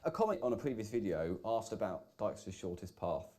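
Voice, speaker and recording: A man calmly explaining a computing topic in a video played back over a stream, heard from far off. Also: fairly low pitch, a little fast. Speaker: video presenter explaining an algorithm.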